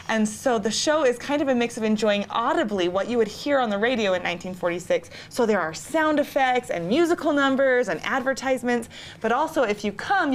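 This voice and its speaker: A woman with a fairly high voice talks as an interview guest in a studio. She explains in a casual, enthusiastic way.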